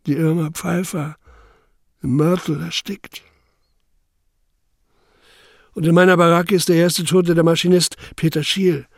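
An actor playing a young labor camp survivor who narrates in the first person, sober, measured and intense. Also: male voice, a little high, vocal fry, no room sound, clean studio sound. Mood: grim.

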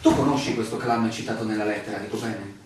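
An actor playing a newly arrived land surveyor, formal and insistently questioning, in a stage-style radio drama. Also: male voice, on the low side, steady tone, fast, natural voice. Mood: formal, inquisitive. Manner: formal, questioning, hesitant asides. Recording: echoey room, distant mic, faint noise, clicks.

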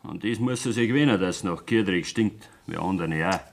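An actor playing a stubborn, bitter young farm son with a Bavarian accent in a dialect radio drama, defiant and curt. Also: male voice, low voice, normal speed, creaky.

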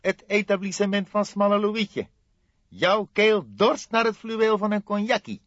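A man's voice: sits high, steady tone, unhurried, fairly bright tone, no echo, lo-fi sound, pitched above the performer's own voice. A man voicing an eager young police detective in an audiobook, an inquisitive and slightly teasing junior partner. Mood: wry, teasing.

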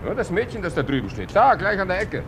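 An actor playing an easygoing, teasing waffle seller in a 1950s radio drama, talking casually. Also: male voice, high-pitched, very animated, fast, a bit bright, full character voice. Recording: old radio recording, faint street noise.

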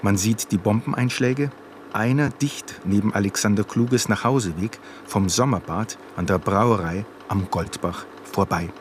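A man with a low voice reads out captions in a clipped, factual documentary manner. Faint noise, like a vehicle, sits behind his scripted narration. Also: unhurried.

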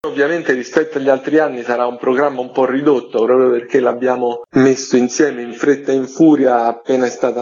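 A middle-aged man speaks as a guest in a radio interview. His voice sits at a medium pitch with a husky edge. He explains things in a chatty way, peppered with filler words, on a slightly muffled recording. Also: a little mellow. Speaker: guest, film festival organizer. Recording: slightly dull, lo-fi audio.

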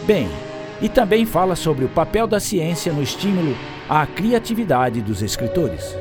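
A man's voice: a little high, very animated, moderate pace. A man narrating a radio drama from a script in a storytelling manner. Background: loud music.

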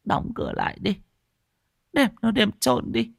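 A stern old mother-in-law in a village audio story played by a woman. Her voice is high and creaky, bluntly giving cryptic, weary orders. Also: wildly swooping pitch, a little slow, no echo, studio quality, full character voice. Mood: ominous, commanding.

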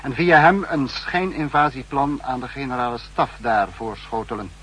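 An actor playing a calculating spy chief, speaking with measured, superior authority in a 1960s radio drama. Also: male voice, medium pitch, a bit bright, husky. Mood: pondering, grave. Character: calculating, authoritative male spymaster, head of secret service. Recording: faintly noisy 1960s radio recording.

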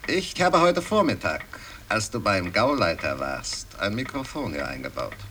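An actor playing a scheming, calculating son of an aristocrat in a radio drama, precise and conspiratorial. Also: male voice, fairly low pitch, very animated, normal speed, pushed and bright. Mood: scheming, confident. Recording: distant, noisy 1960s radio recording.

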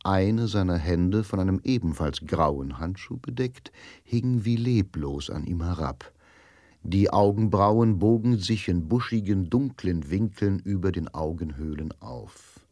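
Narrator, male, lowish voice: sober, measured literary storytelling for radio drama, recorded dry. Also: mellow, no room sound.

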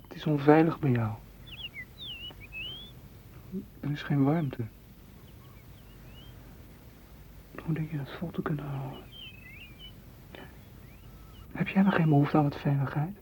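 A man's voice: on the high side, quick pace, a little mellow, natural voice. An actor playing a possessive, wooing man in a radio drama, pressing and reproachful in manner. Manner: insistent, reproachful. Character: possessive, wooing suitor seeking warmth and closeness. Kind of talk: literary radio drama acting. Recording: dull, noisy radio play recording.

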